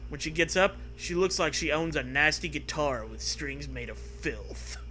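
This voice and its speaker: A man's voice: fairly high pitch, moderate pace, pushed and bright. A man co-hosting a podcast, reading a gamebook aloud in a playful, theatrical way with joking commentary. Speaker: podcast co-host. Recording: faint background noise.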